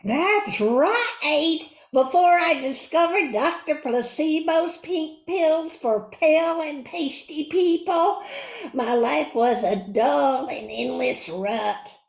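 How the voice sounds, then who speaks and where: A spry lady in her eighties, acted by a woman in a comic radio commercial. She gives her cheerful testimonial slowly and earnestly in a high voice, in an echoey room. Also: gravelly, full character voice.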